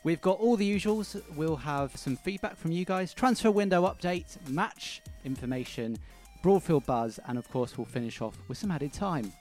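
A man's voice: on the high side, expressive, moderate pace, darkish tone, faint music behind. A man co-hosting a football podcast in a casual, chatty style. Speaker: podcast co-host.

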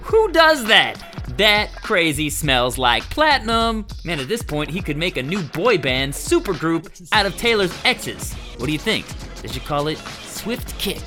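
Comic male host, bright and lively, faint music bed behind. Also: a little high, a little slow. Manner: wisecracking, animated. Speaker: comedy show host. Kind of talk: comic music news chat.

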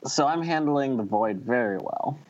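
A man's voice: medium pitch, expressive, moderate pace. A man co-hosting a podcast, talking in a slangy, wry way in casual chat with friends. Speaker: podcast co-host. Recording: slightly dull, lo-fi microphone sound.

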